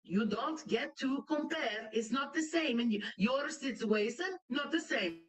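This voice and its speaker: A woman's voice: high voice, unhurried, far from the mic. A Greek woman hosting a reaction commentary, speaking in blunt, broken English and lecturing with indignation. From Greece. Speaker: commentary channel host.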